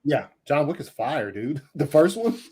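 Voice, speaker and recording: A man with a voice a bit higher than average joins a casual podcast chat. He talks in slang, swears freely and leans hard on his opinions. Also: big pitch swings, a little slow, warm tone. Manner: slangy, profane, emphatic. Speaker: podcast panelist.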